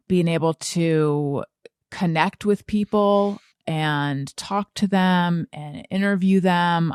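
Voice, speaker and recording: A woman hosting a podcast, talking casually and haltingly as she thinks aloud and repeats words. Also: low-pitched, clear, resonant tone, no room sound, clean studio sound. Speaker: podcast host and interviewer. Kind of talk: casual conversational podcast talk.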